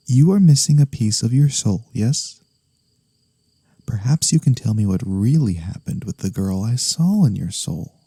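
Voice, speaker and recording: A man acts out a courteous incubus demon in an ASMR fantasy roleplay. The voice is low, very mellow and close, almost without room sound. He speaks slowly and formally, with a gentle teasing edge. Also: slightly rough, no room sound, exaggerated voice. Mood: probing, calm.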